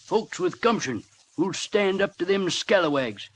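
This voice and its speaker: An actor playing a gruff, plucky old small-town telegrapher with folksy, clipped speech in an old-time radio drama. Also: male voice, high-pitched, animated, measured pace. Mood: gruff, guarded. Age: older. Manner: folksy rural idiom, clipped answers. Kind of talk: 1940s radio drama acting. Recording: old radio recording, faint noise.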